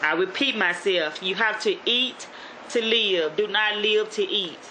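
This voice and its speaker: A woman's voice: mid-range voice, measured pace, sharp tone, a little hiss or hum, low-quality recording. A woman from Shreveport in North Louisiana with a Southern accent, vlogging in a casual motivational style, rambling with lots of "you know" and restarts. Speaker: YouTube vlogger.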